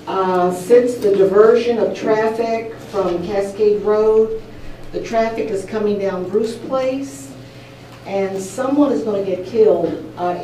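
A woman's voice: medium pitch, warm tone, a little hiss or hum. A woman speaking at public comment in a council meeting, polite and concerned. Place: large council chamber. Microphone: podium microphone heard from a distance. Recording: echoey chamber, mic at a distance.

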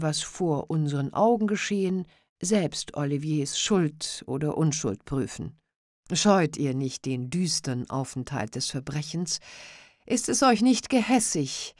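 A woman's voice: low-pitched, darkish tone, no echo, clean studio sound. A woman reading the part of a smirking, ceremonious male court president, with formal, mocking politeness.

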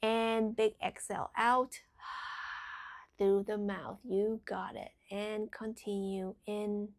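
A woman guides a meditation at a very unhurried pace. Her pitch sits in an average female range. She phrases deliberately and soothingly, with endings that thin into breathy whispers. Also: steady tone.

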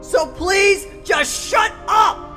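A man's voice: extremely high pitch, drawn-out words, pushed and bright, soft background music, heavily acted. A man voicing an upset teenage mutant turtle in a comic dub. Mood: exasperated.

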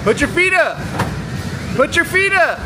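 A man's voice: extremely high pitch, very animated, a little slow. A man filming his kids at a fair, playfully teasing them and cheering them on. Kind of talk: casual family vlog. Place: outdoors at a busy fairground. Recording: loud crowd and fair noise.

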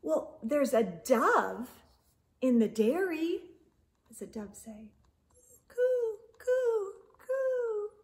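A middle-aged woman reading a picture book aloud to young children in an expressive, sing-song way. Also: sits high, very slow. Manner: expressive, sing-song delivery with animal sounds and hushed asides. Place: living room or home library with bookshelves.

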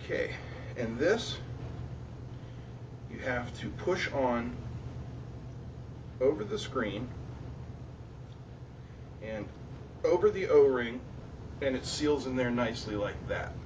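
A man's voice: medium pitch, animated, slow, pauses often, lo-fi sound. A man in his forties hosting a welding demonstration in an indoor workshop, talking casually and joking at his own expense while he works. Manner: joking, self-deprecating, talks while working. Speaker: welding video host. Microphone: lapel mic clipped to shirt. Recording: distant with noticeable shop noise.

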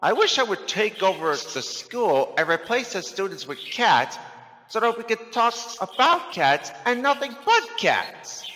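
A man's voice: fairly high pitch, sing-song, a little slow, bright, forceful tone, big-room sound, slight background noise, pitched above the performer's own voice, heavily acted, low-quality recording. A man voicing a sneaky, cheeky and grumpy talking cat in a children's story. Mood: grumbling.

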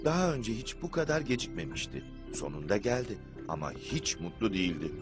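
An actor playing a calm storybook narrator, telling a children's cartoon in scripted narration. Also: male voice, on the low side, a little fast. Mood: storytelling. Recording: loud music behind.

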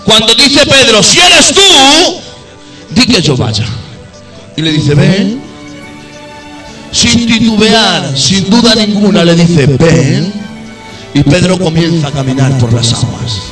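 A man delivers a fervent sermon in a noticeably high voice. He sinks into low, confiding stretches before climbing to loud, ringing peaks, with clear music playing under him throughout. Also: expressive, slow pace, recorded from a distance. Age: adult. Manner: impassioned, swings between low intimate tones and high shouted peaks. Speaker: preacher.

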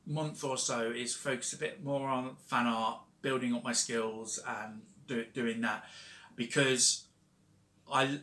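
A man's voice: medium pitch, pushed and bright. A young man casually chatting on a home-studio vlog, rambling with many fillers and restarts. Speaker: vlogger and self-published author. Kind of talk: casual talking-head vlog. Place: home studio room with shelves. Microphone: fixed camera at desk distance. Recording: echoey room.

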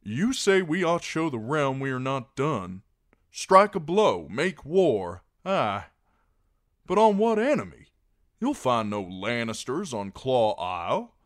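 An earnest, plain-spoken knight played by a male reader, blunt and insistent. His voice is raised in pitch and swings with feeling, dry and close. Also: very animated, takes it slowly, dry recording. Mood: challenging. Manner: insistent, impassioned, rhetorical.

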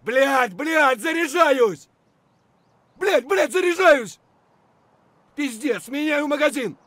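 An actor playing an aggressive, crude male soldier, shouting urgent combat barks for a video game. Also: extremely high pitch, animated, slow pace, no room sound, full character voice.

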